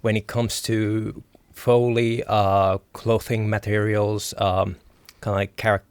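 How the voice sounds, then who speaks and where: A man speaking as a guest in an interview, hesitant and pausing often with filler sounds. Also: on the low side, level tone, clean tone, dry recording. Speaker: guest, audio designer. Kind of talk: casual interview chat.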